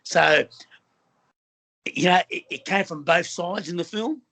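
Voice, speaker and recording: A man's voice: sits high, a little slow, gravelly, a bit bright. A middle-aged Australian man from Western Sydney, speaking as a film actor guest in an earnest, rambling way with many fillers and restarts. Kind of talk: casual interview conversation.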